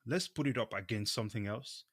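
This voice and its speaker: A man's voice: lowish voice, a little fast, a bit bright, breathy edge, no room sound, clean studio sound. A man from Cameroon co-hosting a show, talking casually and emphatically, often checking in as he makes his point. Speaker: co-host of a crypto show. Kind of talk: casual opinionated discussion.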